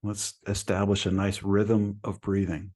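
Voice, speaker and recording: A man leading a guided meditation as a teacher, speaking in a calm, soothing manner with long pauses between phrases. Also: lowish voice.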